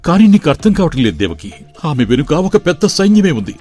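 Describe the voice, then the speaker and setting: A man voicing a gentle, weakened young nobleman in an animated story, earnest and pleading. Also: on the high side, a little fast, soft background music.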